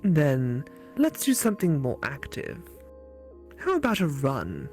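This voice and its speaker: A woman's voice: low voice, huge pitch swings, a little slow, darkish tone, soft background music, heavily acted. A woman voicing an earnest, curious female AI companion in a visual novel, with a quizzical, wondering manner.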